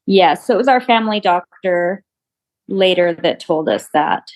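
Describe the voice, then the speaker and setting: A middle-aged woman, a mother and interview guest, telling events in a steady, casual way and filling in details. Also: lowish voice, moderate pace. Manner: steady, fills in details gently. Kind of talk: casual storytelling.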